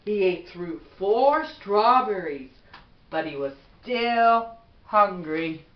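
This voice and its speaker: A middle-aged woman reads a bedtime storybook at an unhurried pace. She is some distance from the camera mic in an echoey room. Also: medium pitch, expressive, lo-fi sound. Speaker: mother reading a bedtime story. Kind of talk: slow storybook read-aloud. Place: small bedroom at home, indoors. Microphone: camera a few feet away.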